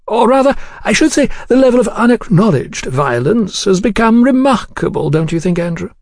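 Man playing suave, menacing English gentleman, pitched up. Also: very animated, moderate pace, dry recording, clean studio sound, heavily acted. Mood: quietly menacing. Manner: genteel, elaborately courteous, insinuating. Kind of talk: audiobook character dialogue.

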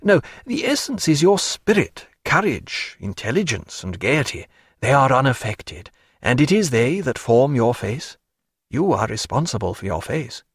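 A man reading an earnest gentleman in an audiobook, speaking in a stiff, formal manner. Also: mid-range voice, big pitch swings, a little slow, slightly rough, no echo, studio quality, exaggerated voice.

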